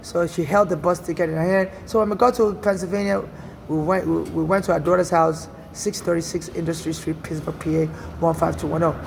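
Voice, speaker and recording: A man's voice: on the high side, fairly even intonation, brisk, creaky, a little hiss or hum, low-quality recording. A Nigerian man from Nigeria with a Nigerian accent, telling a personal story in an earnest, rambling way, often restarting and saying "you know". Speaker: storyteller recounting personal experience. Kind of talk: casual first-person monologue.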